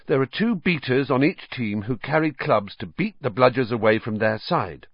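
A man voicing a tired, patient teenage schoolboy who explains things in a flat, resigned way. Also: medium pitch, moderate pace, slightly rough, no room sound, voice pushed up. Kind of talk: audiobook character voice. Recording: dull, dry lo-fi audio.

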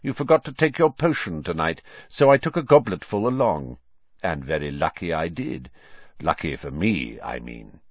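A man acts out a vindictive, fanatical male schoolmaster from a storybook, full of sarcasm and menace. The voice hisses and spits, swinging widely in pitch. The recording is dry and a little dull. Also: lively intonation, moderate pace, no echo. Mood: smug, gloating. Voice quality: hissing, silky to spitting.

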